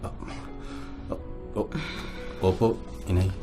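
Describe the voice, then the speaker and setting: A man's voice: low voice, little pitch movement, slow, dark tone, faint music behind. A man playing a respectful, worried young son with a Filipino accent in a radio drama. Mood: hesitant, confessing.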